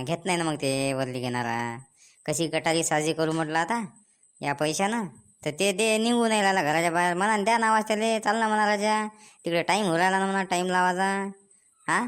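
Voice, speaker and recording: A woman's voice: lowish voice, clear, resonant tone, close to an everyday voice. A woman voicing a fussy, pestering village man in a comedy cartoon, complaining colloquially in a Varhadi Marathi accent from Vidarbha. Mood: impatient, pestering.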